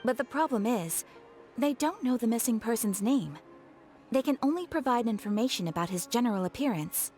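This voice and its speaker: A composed, courteous guild receptionist played by a woman, with a slightly high voice that moves through a lively range of pitch. She speaks formally and evenly while soft game music plays underneath. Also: a little fast, lightly acted.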